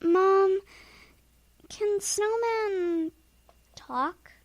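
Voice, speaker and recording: Woman playing an earnest, very high-voiced young boy. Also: extremely slow, no echo, exaggerated voice. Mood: subdued, worried. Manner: earnest, puzzled, childlike. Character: earnest, curious young schoolboy named Danny. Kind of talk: children's audio drama acting.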